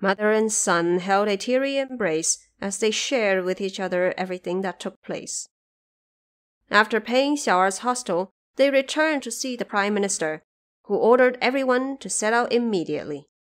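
A woman reading scripted storybook narration of a classic tale in a measured, expressive storyteller's manner. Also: mid-range voice.

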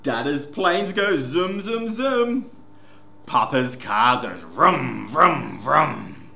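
A man hosts story time, reading a picture book to young children in an expressive, sing-song way. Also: a little high, very slow, gravelly. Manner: expressive, sing-song, addressing small children with simple words. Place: indoor classroom reading corner. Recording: roomy echo with faint background noise.

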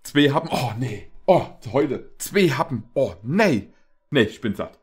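A young man gives casual, jokey commentary as a reaction host. His medium-pitched voice moves up and down a lot, and he often repeats himself as he feels for the next phrase. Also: very animated, husky, faint music behind. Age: young adult. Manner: halting, repetitive, jokey. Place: small indoor room with shelves.

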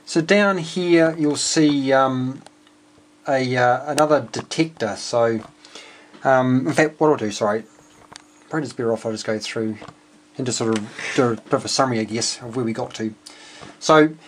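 A man with a New Zealand accent giving a casual, unscripted technical walkthrough as a radio hobbyist, hesitant and speaking in short phrases. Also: mid-range voice. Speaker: amateur radio hobbyist presenting his homebuilt receiver. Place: indoors at a home workbench. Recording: clearly noisy home video with clicks.

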